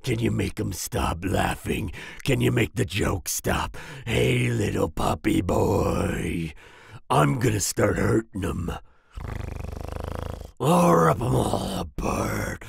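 A man playing a volatile but soft-hearted werewolf boyfriend in an ASMR roleplay, growling and raspy, with sighs and groans. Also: on the low side, lively intonation, heavily acted. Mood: furious. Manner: snarling outbursts, sighs and groans, slow brooding delivery. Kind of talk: ASMR roleplay audio drama.

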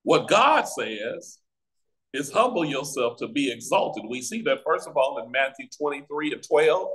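An American man teaching a Bible study as a pastor, with deliberate, emphatic phrasing and pauses, over a video call. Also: medium pitch, sing-song, fairly bright tone, low-quality recording. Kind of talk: Bible teaching with scripture reading. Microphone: video call microphone.

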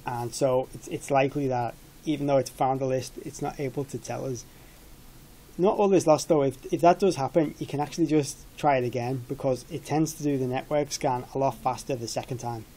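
A man's voice: mid-range voice, fairly even intonation, fairly quick, mellow. A man in his thirties giving an informal tech product walkthrough in a matter-of-fact, explanatory way. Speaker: product demonstrator.

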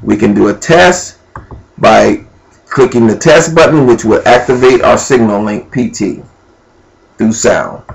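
A man giving an informal, unscripted step-by-step software walkthrough, thinking aloud as he goes. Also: medium pitch, measured pace, vocal fry, rough recording. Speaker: amateur tutorial presenter. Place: indoors at a computer.

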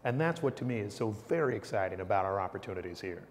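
A man's voice: on the low side, lively intonation, quick pace, a little hiss or hum. A middle-aged man delivering a short public address to camera in a large hall. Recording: long reverb of large hall.

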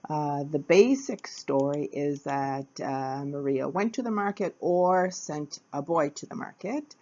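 A woman with a low voice narrates a capoeira history lesson at an easy pace. She hesitates often, filling gaps with uh between phrases. The recording sounds a little dull and lo-fi. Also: expressive, a little slow, warm tone. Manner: hesitant, many uh fillers, plain. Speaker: capoeira teacher. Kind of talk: casual educational narration.

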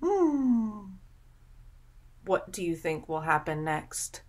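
A young woman reading a picture book aloud to children in an expressive, dramatic way, pausing to ask the listener questions. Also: lowish voice. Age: young adult. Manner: dramatic, drawn-out delivery with gasps and prompting questions. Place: small room at home, indoors.

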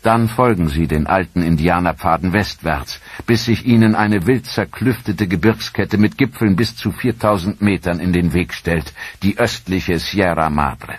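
A man narrating a scripted historical documentary in a measured, dramatic storytelling manner. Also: low-pitched, husky, no echo.

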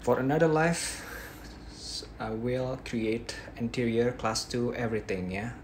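A man's voice: mid-range voice, a little hiss or hum. A young man with an Indonesian accent teaches a live dental demo from a small bedroom, a phone held close. His throat is a little sore, and he talks in restarts, tagging lines with "yeah". Faint room ambience sits behind him. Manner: halting, frequent "yeah" tags and restarts. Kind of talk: live-stream teaching, reading viewer questions. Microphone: phone held close at arm's length.

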